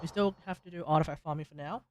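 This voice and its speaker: A man's voice: on the high side, fast, very noisy. A man hosting a casual gaming livestream, rambling and thinking aloud. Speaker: livestream host.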